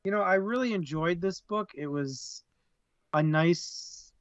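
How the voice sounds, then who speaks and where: Slow-talking man on a dull, lo-fi mic. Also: sits high, no room sound. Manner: thoughtful, halting, repeats words while searching. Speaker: book club podcast co-host. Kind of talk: casual book discussion.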